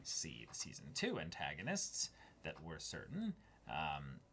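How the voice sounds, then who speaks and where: A man's voice: rumbling low, wildly swooping pitch, unhurried, sharp tone, slightly rough. A man co-hosting a casual discussion, talking analytically and stressing his key words. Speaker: co-host of an anime reaction discussion.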